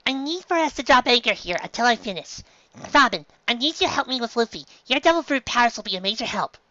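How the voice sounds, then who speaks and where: A woman acts out a little male reindeer doctor from a cartoon, squeaky and very high. He is earnest and bossy, handing out instructions in a bright, forceful tone. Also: expressive, fast talker, no room sound, muffled recording. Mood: earnest, commanding.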